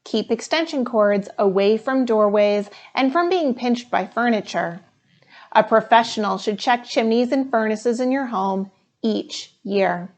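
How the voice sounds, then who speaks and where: Lady reading as a calm man teacher in a kids book, measured, spelling out the rules. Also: on the high side. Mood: firm, instructive. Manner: measured, instructive. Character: male schoolteacher, calm, instructive, thorough. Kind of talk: storybook read-aloud.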